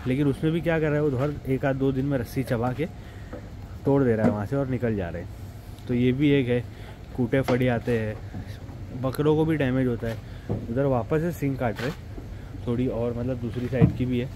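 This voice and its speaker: A man's voice: mid-range voice, moderate pace. A man, chatting casually to viewers as he shows goat farm work step by step. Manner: chatty, explains step by step, addresses viewers directly. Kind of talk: casual vlog narration. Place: goat farm and street, outdoors. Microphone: clip-on mic after setup. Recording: noisy outdoor street with traffic.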